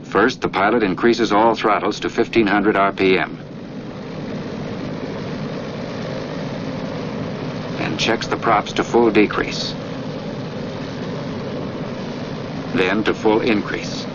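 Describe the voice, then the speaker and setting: A male actor as a stern flight instructor with a fairly low voice, needling and directing a trainee in a 1940s military training film. The old soundtrack is muffled, with clear noise behind the voice. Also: steady tone, moderate pace, lightly acted. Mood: instructive. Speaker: off-screen narrator instructing a trainee.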